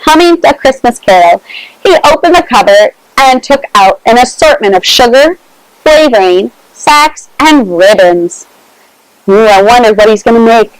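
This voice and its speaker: Clear, higher-voiced woman reading a children's story. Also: lively intonation, moderate pace, no echo, poor audio. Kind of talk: read-aloud children's storytime with asides.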